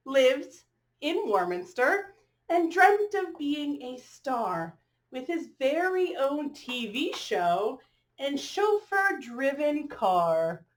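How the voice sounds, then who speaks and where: Slow, animated, high-voiced woman reading to children. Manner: expressive, drawn-out reading with questions to children. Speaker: teacher reading aloud to children. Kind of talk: storybook read-aloud with asides to young listeners.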